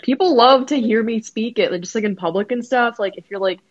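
Young adult podcaster: mid-pitched, chatty, filler-heavy storyteller; dry, slightly lo-fi recording. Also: a little fast, no room sound. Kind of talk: casual podcast chat.

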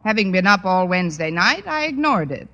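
An actress playing a wry, wisecracking high school English teacher in an old radio comedy, with dry, sardonic delivery. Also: female voice, brisk, muffled recording. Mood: wry narration. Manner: dry, sardonic, deadpan quips. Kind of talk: 1940s-style radio comedy acting. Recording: noisy vintage radio recording.

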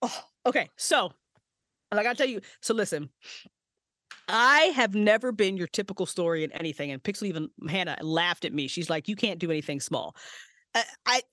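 A woman voice actress and writer, a podcast guest, talking excitedly and swearing freely about her projects. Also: lowish voice, brisk, no echo, clean studio sound. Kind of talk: casual podcast interview. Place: small handmade vocal booth.

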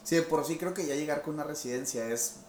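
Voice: mid-range voice, fast talker, a little mellow. Host, rambling casual questions, roomy echo, faint noise. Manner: rambling, searching for words, many fillers. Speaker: interviewer, host.

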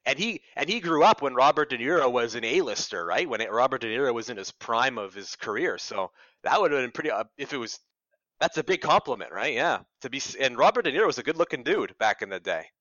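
A man's voice: mid-range voice, very animated, fast talker, pushed and bright, dry recording. Young canadian guy radio reporter getting interviewed, chatty n self-deprecating, dry room, kinda lo-fi sound. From Ottawa, Canada. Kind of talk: casual conversational storytelling.

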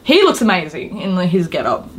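A woman chatting informally as a podcast co-host, excitable and emphatic in the way she talks. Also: fairly low pitch, fairly quick, rough recording. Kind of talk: casual chat about games and films.

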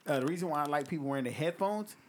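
A man's voice: a little high, animated, racing, slight background noise. A man with a light voice hosting a music review show, chatting loosely, full of slang and swearing. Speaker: podcast host reviewing music. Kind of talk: casual chat.

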